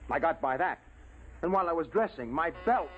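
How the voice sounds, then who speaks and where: An actor as a rattled, highly animated space officer. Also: male voice, a little fast, natural voice. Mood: agitated, pleading. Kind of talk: 1950s radio drama acting. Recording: tinny, noisy old radio recording.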